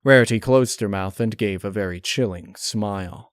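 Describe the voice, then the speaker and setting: Man, lowish voice, scripted horror narration, measured, solemn, very dry close sound. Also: clean tone, no echo. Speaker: fan-fiction narrator.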